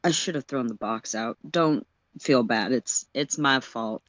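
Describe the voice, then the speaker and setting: A performer voicing a guarded, slangy teenage boy with a deadpan, evasive manner in a fan fiction reading. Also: medium pitch, a little slow, no room sound, lightly acted. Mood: guarded, self-blaming.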